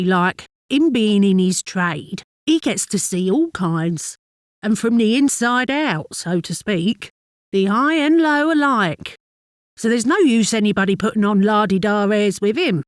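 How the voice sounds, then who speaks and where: An actress playing a chatty working-class London wife in a dramatic monologue, fond and mildly exasperated, confiding in a gossipy, colloquial way with a London accent. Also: female voice, mid-range voice, no room sound, clean studio sound, lightly acted. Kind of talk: dramatic monologue, conversational storytelling.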